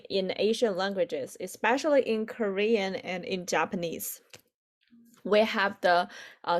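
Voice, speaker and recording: A woman in her twenties with a Chinese accent and native Chinese, teaching an online language lesson haltingly, repeating phrases for learners. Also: mid-range voice. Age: 20s. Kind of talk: online lesson, explaining with examples.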